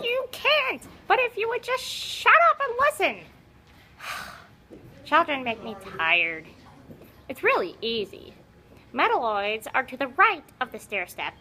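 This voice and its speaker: A woman's voice: high voice, sharp tone, heavily acted. A woman voicing a grumpy, conceited old talking puppet who lectures impatiently in an educational puppet show. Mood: exasperated, scolding. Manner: haughty, exasperated, scolding, lecturing.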